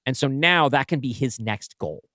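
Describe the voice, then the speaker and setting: A man co-hosting a podcast, brainstorming casually and thinking aloud in halting, self-correcting bursts. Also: mid-range voice, lively intonation, pushed and bright, dry recording, studio quality. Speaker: podcast co-host and writer.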